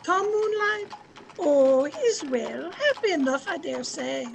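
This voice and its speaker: Woman playing high, creaky, fond old servant. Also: expressive, slow, exaggerated voice. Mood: matter-of-fact. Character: older female servant Minnie, fond and plainspoken. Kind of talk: old-time radio drama acting. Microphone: video call. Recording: noisy home video-call recording.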